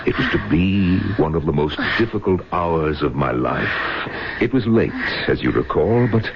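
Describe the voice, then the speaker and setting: An actor playing a solemn rabbi who narrates a painful memory gravely and deliberately in an old-time radio drama. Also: male voice, very deep voice, animated. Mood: solemn, reflective. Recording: muffled, hissy old radio recording.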